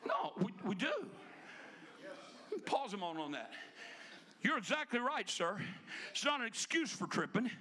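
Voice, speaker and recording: A man in his fifties preaching into a handheld mic in a church sanctuary. His voice sits very high for a man and rings bright and forceful. He swings between shouted emphasis and quick conversational asides. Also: very animated. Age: 50s. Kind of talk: energetic Pentecostal sermon. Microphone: handheld microphone.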